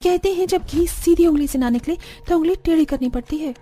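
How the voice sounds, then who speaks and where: A woman's voice: very high voice, a little fast, dark tone. A woman voicing a fed-up young village daughter-in-law in a cartoon, complaining emphatically. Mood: scheming.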